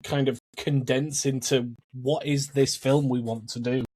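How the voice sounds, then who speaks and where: A man's voice: medium pitch, flat delivery, measured pace, a little mellow, breathy edge. A man speaking as a podcast panellist in casual chat, reflective and explaining his points.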